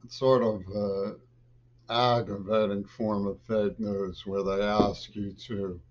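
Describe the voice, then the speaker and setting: Older man, webcam monologue from a home office; slow, low, erratic bursts; muffled lo-fi audio. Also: flat delivery. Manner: agitated, lurching between loud bursts and mutters. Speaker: vlogger. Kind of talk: rambling political monologue to camera. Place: small home office with bookshelves, indoors. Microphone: webcam at desk.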